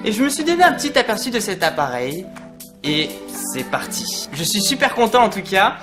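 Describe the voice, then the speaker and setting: A tech reviewer talks to viewers in an informal, conversational way. The voice sits at a middle pitch and explains features casually. Music plays clearly behind it. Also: sing-song, moderate pace. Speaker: tech reviewer and vlog host. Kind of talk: casual product review to camera. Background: clear background music.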